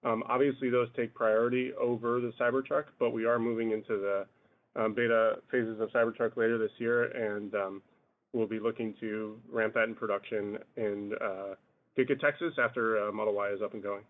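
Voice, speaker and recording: Phone-line male executive, fairly low voice. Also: flat delivery, normal speed. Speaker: company executive. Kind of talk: business update on an earnings call. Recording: thin, phone-like conference line.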